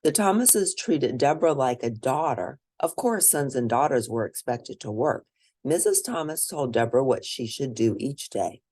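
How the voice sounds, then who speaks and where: A woman reads a picture book aloud in an expressive, teacherly way, speaking to young listeners. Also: very deep voice, moderate pace, dry recording. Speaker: children's read-aloud narrator and educator.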